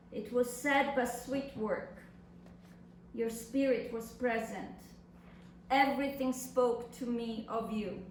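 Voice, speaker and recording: A woman reading love letters as a languid, ardent male poet, lyrical and dreamy. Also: a little high. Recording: echoey room from far off, faint noise.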